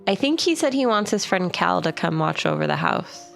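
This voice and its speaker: A woman's voice: medium pitch, big pitch swings, normal speed, vocal fry, fairly bright tone, soft background music. A woman chatting casually as a player in a tabletop role-playing game, often in character. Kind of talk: casual, partly in-character chat.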